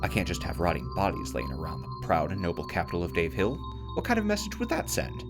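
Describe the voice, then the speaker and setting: A man's voice: deep voice, huge pitch swings, fast talker, over loud music. A man playing a pompous, bossy, self-proclaimed emperor hosting a scripted post-apocalyptic radio comedy with grandiose, exasperated commands. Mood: pompous, self-important. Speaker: radio host.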